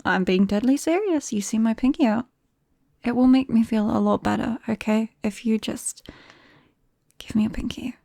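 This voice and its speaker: A British woman playing a shy, nervous vampire best friend in an ASMR roleplay, speaking softly and haltingly and often slipping into a whisper. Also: a little high, no echo, studio quality. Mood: tentative, hopeful. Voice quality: soft, breathy, often dropping to a whisper. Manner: hesitant, bashful, halting.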